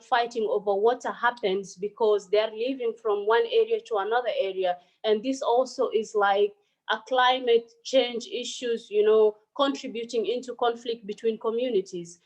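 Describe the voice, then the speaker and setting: Female panelist, slightly high voice; earnest, filler-heavy delivery over a phone. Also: rough recording. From South Sudan. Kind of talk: online panel presentation.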